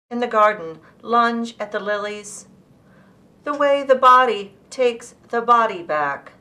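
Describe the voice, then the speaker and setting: A woman poet reading her own work aloud in measured, deliberate phrases. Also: fairly high pitch, pushed and bright.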